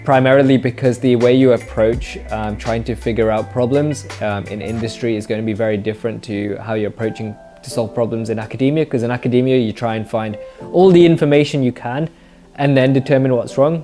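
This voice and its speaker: A young man from England in his twenties, talking straight to camera from his living-room sofa. He rambles through viewer questions in a relaxed, filler-heavy way, tossing in dry little jokes, with faint music playing underneath. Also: medium pitch. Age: 20s. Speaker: YouTuber answering viewer questions. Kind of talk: casual Q&A monologue. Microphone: mic off-camera, seated facing camera.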